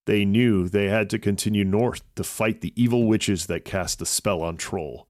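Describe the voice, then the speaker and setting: A man's voice: lowish voice, steady tone, moderate pace, a little mellow, no echo, clean studio sound. A man narrating a scripted children's story as a podcast host.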